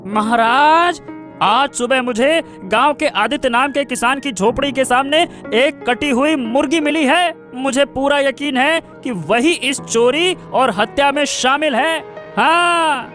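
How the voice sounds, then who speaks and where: Cartoon villain: scheming chief minister, very high pitch, menacing, self-important, drawn-out delivery. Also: male voice, fairly bright tone, soft background music. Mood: sly, insistent. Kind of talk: Hindi cartoon story voice acting.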